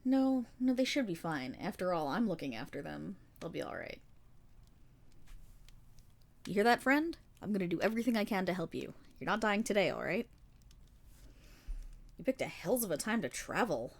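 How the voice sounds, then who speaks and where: A woman acts out a seasoned female paladin in a fantasy audio roleplay. Her voice sits a little low and moves with lively, sweeping intonation. She is kindly and earnest, weighted with regret, and tells her tale in formal, measured phrases. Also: gravelly, full character voice. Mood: warm, reassuring. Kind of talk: fantasy roleplay audio monologue addressed to the listener.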